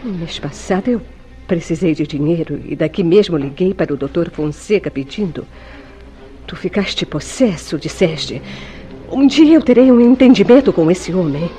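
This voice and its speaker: A woman's voice: lowish voice, expressive, normal speed, warm tone, faint music behind, lightly acted. A woman acting a delicate, anguished lover in a radio soap opera, theatrical and formal, pleading and insistent. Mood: accusing.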